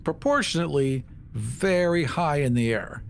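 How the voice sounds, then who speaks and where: A man's voice: a little high, lively intonation, normal speed, vocal fry, soft background music. A man playing a tabletop role-playing game, describing his moves casually in a wry, playfully argumentative way. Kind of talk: casual in-character play and banter.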